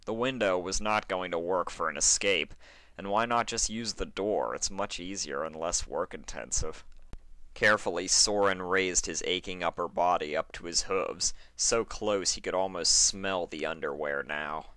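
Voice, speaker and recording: A man's voice: low voice, normal speed, sharp tone, dry recording. A man narrating a fan fiction story in a dramatic, scripted reading style.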